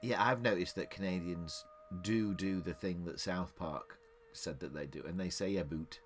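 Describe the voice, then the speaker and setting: A man's voice: low-pitched, normal speed, soft background music. A middle-aged man from England co-hosting a podcast, rambling in casual chat with frequent restarts and easy laughter. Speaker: podcast co-host.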